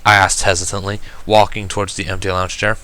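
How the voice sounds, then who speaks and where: A man reading fan fiction aloud as expressive first-person story narration. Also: low voice, brisk.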